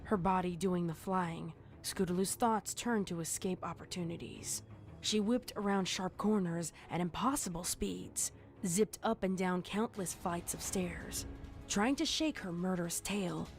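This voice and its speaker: A woman's voice: fairly low pitch, a little slow. A woman reading scripted horror fiction as a storyteller. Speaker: narrator. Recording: music under the narration. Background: music.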